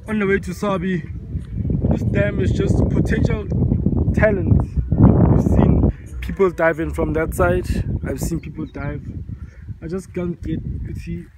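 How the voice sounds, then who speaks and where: A man's voice: sits high, fairly even intonation, measured pace, very noisy. A young man from South Africa on a road trip, chatting and joking with his sister, filmed on a handheld phone camera. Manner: joking, playful banter. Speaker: vlogger's brother. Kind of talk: casual chat. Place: inside a car and outdoors by a dam. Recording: music playing under the voice.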